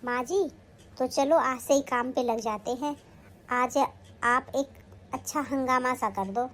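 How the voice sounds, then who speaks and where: A woman's voice: high-pitched, slow pace, a bit bright. A woman acting a sly, newly married young daughter-in-law who coaxes and pleads in a family skit. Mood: plotting. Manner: coaxing, plotting, pleading.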